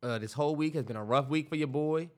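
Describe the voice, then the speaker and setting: A man's voice: on the high side, quick pace, a little mellow. A man co-hosting a podcast, talking late at night, tired, laid-back and teasing in casual banter. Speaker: podcast co-host.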